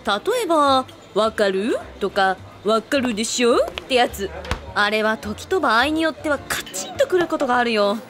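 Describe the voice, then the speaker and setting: Woman acting a lively, blunt, teasing tomboy. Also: fairly high pitch, moderate pace, lightly acted. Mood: amused. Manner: blunt, casual masculine phrasing, teasing. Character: blunt, teasing, tomboyish woman, fond big-sister type. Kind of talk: comic radio drama acting. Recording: music behind the voice.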